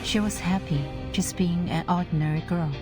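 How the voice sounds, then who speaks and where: A woman's voice: lowish voice, fairly even intonation, moderate pace, lightly acted. An actress playing a modest, dutiful female subordinate in a video game, speaking in a careful, deferential, formal way. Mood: somber, reflective. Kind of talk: dramatic video game voice acting. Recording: music under the voice. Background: background music.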